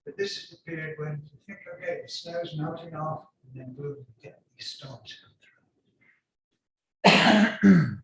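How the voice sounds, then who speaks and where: A man giving an academic seminar lecture in halting phrases, his voice slightly croaky. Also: fairly high pitch, darkish tone, slight background noise, rough recording.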